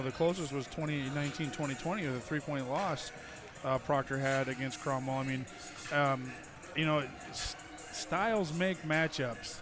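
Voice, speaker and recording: A man with a fairly high but slightly froggy voice from a cold offers color commentary, stumbling and restarting phrases. Music plays clearly behind the broadcast. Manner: halting, repeats words. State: a little hoarse from a cold. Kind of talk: local sports broadcast.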